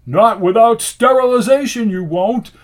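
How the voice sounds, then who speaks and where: A man's voice: sits high, unhurried, voice pushed up. A man voicing an urgent, hot-tempered male medic in an audiobook, emphatic and exclaiming. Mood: indignant.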